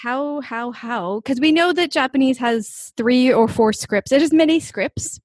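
A woman hosting a podcast interview, enthusiastic and giggly, often stumbling over repeated words in her excitement. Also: high voice, measured pace, dry recording. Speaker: podcast host. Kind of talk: casual interview chat.

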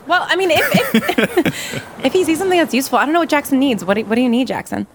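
A woman improvising as a player in a tabletop game, talking casually and haltingly, amused at herself. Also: high voice, expressive, background noise. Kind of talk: casual improvised role-play and narration.

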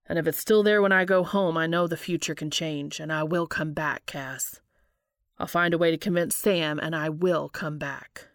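Female reader as devoted young man, stubborn and earnest, low gravelly fry, storybook romance drama. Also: fairly even intonation, moderate pace, dry recording, clean studio sound. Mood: determined.

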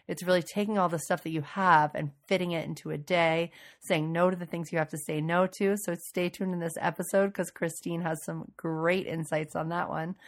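Raspy-voiced woman host, getting over a cold. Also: lowish voice. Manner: chatty and enthusiastic. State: recovering from a cold. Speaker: podcast host. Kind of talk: casual solo podcast intro.

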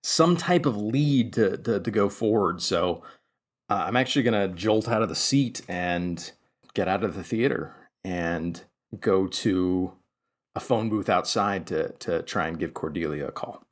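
A man talking casually as a player in a tabletop role-playing game, improvising in halting, tentative phrases. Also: low-pitched.

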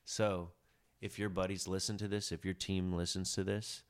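Deep-voiced male podcast host, relaxed and musing, on a close studio mic. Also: normal speed, clean tone. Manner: laid-back, musing, trails off into low mutters. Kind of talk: casual podcast conversation.

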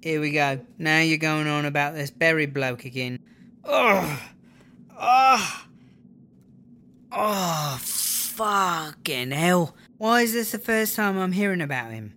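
A woman's voice: deep voice, expressive, takes it slowly, a bit bright, full character voice. A woman with a British accent playing a jealous, bitter, foul-mouthed girlfriend in a comedy audio drama, sarcastic and exasperated.

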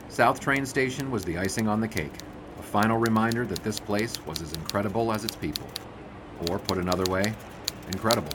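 A man's voice: lowish voice, brisk, noisy background, lightly acted. A man playing an earnest, reserved sportswriter of the 1920s in an audio drama, understated and polite. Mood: reflective. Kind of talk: period audio drama acting with narration.

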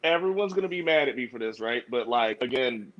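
A man's voice: mid-range voice, animated, brisk, dull audio, over a noisy crowd. A man co-hosting a podcast in casual banter, blunt, profane and teasing. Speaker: podcast co-host.

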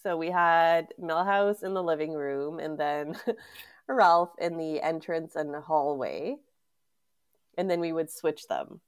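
A woman's voice: low-pitched, takes it slowly, smooth. A congested woman co-hosting a podcast, chatting casually and giggling. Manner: giggly, chatty with many fillers. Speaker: podcast co-host. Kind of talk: casual chat.

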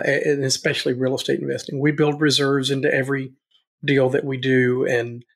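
A middle-aged man with a Southern US accent, a guest in an interview, talking earnestly and haltingly with many restarts. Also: a little high, fairly bright tone. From Tennessee, USA. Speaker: guest, real estate investor. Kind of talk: casual interview talk.

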